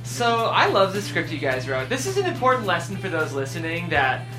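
A male show host with an animated delivery that rises and falls freely. He moves between casual presenting and scripted narration, with music clearly audible behind him. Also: sits high, fairly quick, poor audio. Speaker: show host and stage-direction reader.

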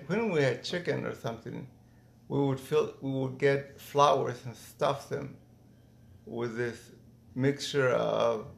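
A middle-aged man from Mexico reminiscing casually in an interview, thinking aloud in halting phrases with false starts. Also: medium pitch, gravelly. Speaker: interviewee, former migrant worker.

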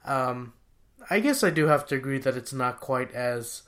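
A man's voice: mid-range voice, lively intonation, moderate pace, a bit bright. A man chats casually as a podcast co-host. He stops and restarts his sentences a lot, feeling his way toward what he means. The tone stays informal and conversational. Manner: hesitant, restarts often, searches for words. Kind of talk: casual chat counting down songs.

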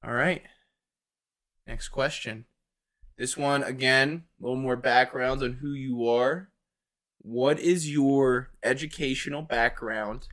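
A man's voice: medium pitch, fairly even intonation, takes it slowly, fairly bright tone. A man with an American accent hosting a podcast and interviewing in a casual, chatty way.

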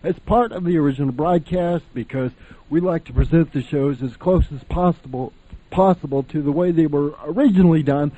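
A man's voice: on the high side, dark tone. A man hosting a radio show with chatty, folksy introductions, on a lo-fi recording. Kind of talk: casual scripted radio introduction.

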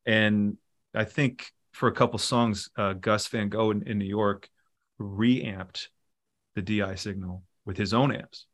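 A middle-aged man talking casually in an interview, relaxed and rambling, with many fillers and restarts. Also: lowish voice, unhurried. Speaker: musician and producer guest.

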